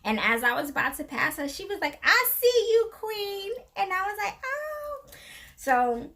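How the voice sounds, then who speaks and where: A woman's voice: extremely high pitch, very animated, measured pace, bright, forceful tone. A middle-aged woman co-hosting a casual live stream, chatty and laughing often as she tells stories.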